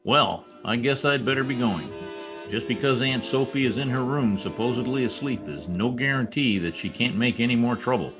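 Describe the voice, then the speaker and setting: A man's voice: mid-range voice, expressive, moderate pace, over music, lightly acted. A man playing an exasperated, wisecracking newspaper reporter in an old-time radio comedy. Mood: wry, softening. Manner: wisecracking, slangy, indignant.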